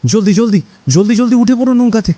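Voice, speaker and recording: A man's voice: high voice, fairly quick, warm tone, close to an everyday voice. A man playing a cautious, protective village husband in a horror cartoon. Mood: concerned, urgent. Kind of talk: Bengali horror cartoon dubbing.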